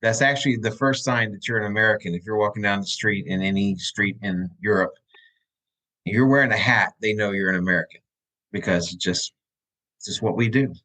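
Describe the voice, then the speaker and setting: A man's voice: lowish voice, brisk, pushed and bright, poor audio. A man hosting a casual podcast over a video call, telling joking, rambling stories. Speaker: podcast host. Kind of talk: casual chat. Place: room at home.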